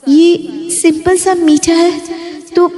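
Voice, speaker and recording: A woman's voice: very high-pitched, little pitch movement, slow, warm tone. A woman playing a health-conscious, insistent young daughter-in-law in a family story drama. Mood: incredulous, exasperated. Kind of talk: hammy family story dialogue. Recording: reverberant, hall-like echo.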